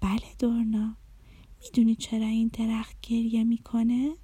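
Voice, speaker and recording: A woman voicing a kind, gentle female dream fairy in a children's story, speaking softly and tenderly. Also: a little high, flat delivery, husky, no echo. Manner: soft, hushed, tender.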